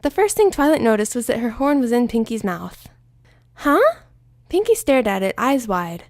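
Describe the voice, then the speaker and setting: A woman narrating a fanfiction story aloud in an expressive storytelling manner. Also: a little high, a little fast, no room sound, clean studio sound. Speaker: fanfiction reader. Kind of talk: audiobook-style story narration.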